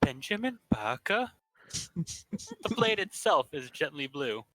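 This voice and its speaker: A man reading game narration aloud in a plain, steady way. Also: on the high side, slow pace, no echo, low-quality recording.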